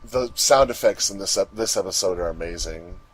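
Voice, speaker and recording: A man's voice: low voice, brisk, a crowd in the background. A man talking casually on a fandub crew's commentary track.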